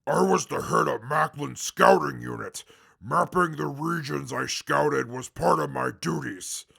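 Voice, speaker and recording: Male actor as imperious, scornful ex-scout for radio drama: rough-edged, bright and forceful, short fuse. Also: a little high, measured pace, exaggerated voice. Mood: scornful, bossy. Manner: haughty, scornful, formal wording. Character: arrogant, short-tempered, imperious male former wasteland scout. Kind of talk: post-apocalyptic audio drama acting.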